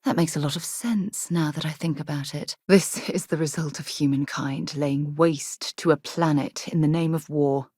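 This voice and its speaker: An actress playing an earnest, principled space explorer in a video game, composed and articulate, with a dry wit. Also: female voice, low voice, fairly even intonation, moderate pace, hardly any pauses, warm tone, husky, no room sound. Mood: thoughtful, serious. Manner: earnest, thoughtful, dryly wry.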